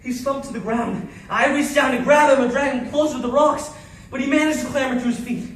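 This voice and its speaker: A man's voice: extremely high pitch, fairly even intonation, a little fast. Man acting a haunted soldier, very echoey recording. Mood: urgent, anguished. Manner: theatrical, intense, swinging from hushed to shouting. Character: haunted Navy SEAL survivor recounting a deadly battle. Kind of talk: dramatic first-person monologue. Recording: very echoey, distant, faint noise.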